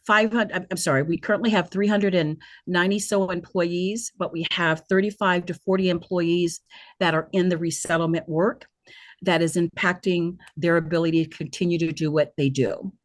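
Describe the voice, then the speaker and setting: Woman, webinar host, lower register, earnest and halting, very dry close sound. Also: no room sound. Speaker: nonprofit leader hosting a virtual town hall. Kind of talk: semi-prepared webinar address.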